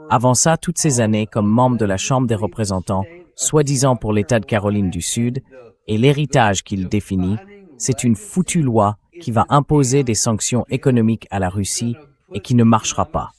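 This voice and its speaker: A man's voice: mid-range voice, moderate pace, darkish tone. A man's synthetic AI dubbing voice giving blunt, scathing political commentary.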